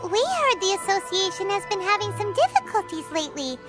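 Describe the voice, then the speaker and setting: A woman voicing a tiny, chirpy, childlike fairy companion in a video game, cheeky and expressive. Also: very high-pitched, a little fast, music in the background. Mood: matter-of-fact, cheeky. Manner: exclamatory, expressive, talks of self in third person.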